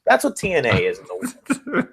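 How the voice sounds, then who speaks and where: Animated man hosting a wrestling podcast. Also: on the high side, fast talker, vocal fry, husky. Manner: emphatic, sarcastic ranting. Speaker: podcast host. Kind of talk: casual wrestling banter and opinion.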